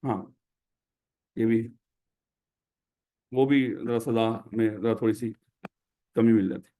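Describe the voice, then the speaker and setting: A man's voice: on the low side, fairly even intonation, slow, dark tone. A man with a Pakistani Urdu accent teaching a classroom lecture, who explains by putting questions to his students.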